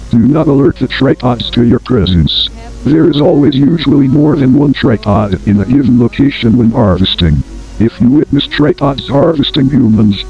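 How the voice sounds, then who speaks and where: Muffled lo-fi tape audio with music underneath carries a man acting as a grave male announcer. His voice sits at a medium pitch. He reads survival instructions in a formal, measured, deadpan way, in the manner of an analog horror instructional tape. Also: natural voice. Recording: dull lo-fi old tape, music behind.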